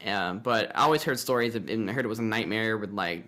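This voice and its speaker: A man's voice: lowish voice, fast, very noisy. A man hosting a casual, joking chat with a sarcastic streak and some swearing, on an amateur recording. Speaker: host. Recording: amateur recording with bad audio.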